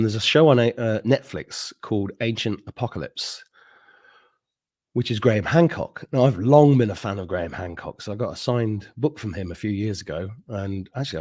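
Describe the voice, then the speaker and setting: A man's voice: fairly low pitch, animated, a little fast, a little mellow. A middle-aged man hosting a live stream, chatting casually with viewers, joking, laughing often and drifting into rambling asides. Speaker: host.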